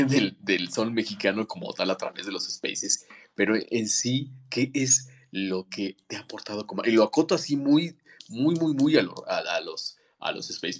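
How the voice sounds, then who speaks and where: Male podcast host, lowish voice, long rambling questions, restarts himself. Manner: rambling, self-correcting questions. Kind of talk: interview questions.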